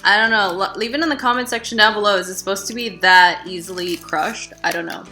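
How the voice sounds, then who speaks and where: A woman in her thirties from the United States, chatting casually as she tries and reviews snacks at home, with animated reactions. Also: medium pitch, fast, soft background music. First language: English. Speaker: food vlogger. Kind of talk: casual taste-test vlog. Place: living room at home. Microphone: camera at desk distance.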